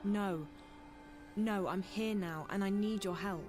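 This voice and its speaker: A woman playing an earnest, bewildered young game heroine who speaks haltingly as she searches for words. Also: mid-range voice, lightly acted. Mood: earnest, insistent. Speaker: video game character. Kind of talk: video game cutscene acting. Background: background music.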